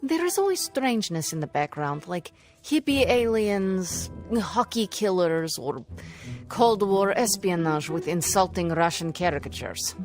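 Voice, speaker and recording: A woman playing a gloomy young sorceress in a video game with dry, deadpan wit. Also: on the low side, unhurried. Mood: curious. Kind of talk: video game cutscene acting. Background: music.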